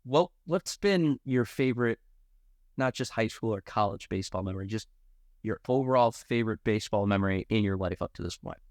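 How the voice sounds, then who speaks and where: A man's voice: fairly low pitch, expressive, fairly quick, a little mellow, no room sound, clean studio sound. A man hosting a podcast, asking chatty, wordy interview questions in an informal way. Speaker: podcast host.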